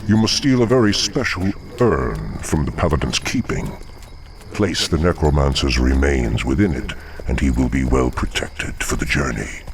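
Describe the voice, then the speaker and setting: An actor playing a menacing male demon dreadlord who speaks slowly and condescendingly, recorded for a game cutscene over music. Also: very deep voice, animated, background noise. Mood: commanding. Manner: slow, condescending, gravelly.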